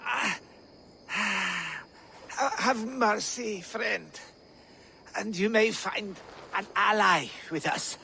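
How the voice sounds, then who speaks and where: A taunting viking prisoner, slightly high voice, really slow, sly and insolent, video game. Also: male voice, gravelly, sharp tone, slight background noise, full character voice. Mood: pleading. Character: captured male Norse raider, wheedling yet insolent. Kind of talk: video game dramatic acting.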